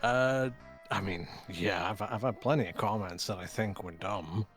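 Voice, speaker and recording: A man's voice: lowish voice, normal speed, fairly bright tone. A man talking casually as an interview guest, with dry, self-deprecating humour. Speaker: guest voice actor. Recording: clear music under the voice.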